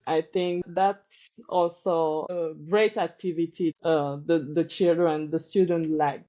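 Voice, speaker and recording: A woman from Congo with a Congolese French accent, a teacher talking thoughtfully in a podcast interview, pausing and restarting often. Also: fairly low pitch, fairly even intonation, mellow, muffled recording. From Democratic Republic of Congo. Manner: thoughtful, halting with many restarts. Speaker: teacher guest.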